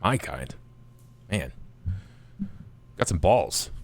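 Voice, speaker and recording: A man playing a cool, detached, cagey schemer in a tabletop game, with a dry, casual, unhurried manner. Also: low-pitched, very animated, a little hiss or hum, exaggerated voice. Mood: cool, dismissive.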